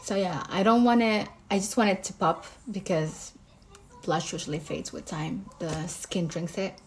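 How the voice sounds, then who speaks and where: Makeup artist lady w/ moroccan accent, kinda low voice, chill tutorial talk. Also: normal speed. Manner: relaxed, explains each step while applying makeup.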